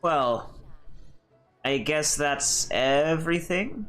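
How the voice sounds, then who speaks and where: A man giving casual live stream commentary on a game in a halting, unhurried way. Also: a little high, a bit bright. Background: game music.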